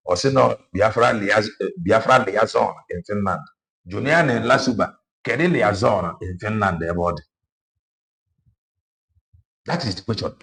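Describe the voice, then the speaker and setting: A man giving political commentary on a livestream, emphatic and repeating his key phrases as he switches between languages. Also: fairly low pitch.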